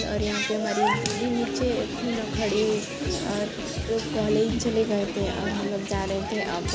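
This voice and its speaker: Young woman, flat rambling narration, phone mic, music behind. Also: on the high side. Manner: rambling, many "matlab" restarts. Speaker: vlogger. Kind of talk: casual voice-over narration. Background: background music.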